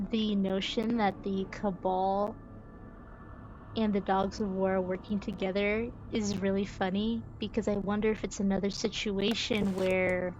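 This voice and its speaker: A woman's voice: medium pitch, a little mellow, a little hiss or hum, poor audio. A woman game streamer giving casual live commentary, musing aloud as she thinks things through. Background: music.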